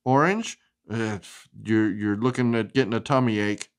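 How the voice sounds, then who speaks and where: A woman reading a storybook aloud to a young child in an expressive, sing-song way. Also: a bass voice, moderate pace, smooth. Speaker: storybook reader.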